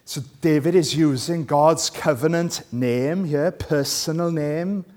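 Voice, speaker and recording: A man's voice: on the high side, slow pace, darkish tone. A Welsh man, a native Welsh speaker, preaching and reading scripture, checking in with listeners through questions. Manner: conversational, asks listeners rhetorical tag questions.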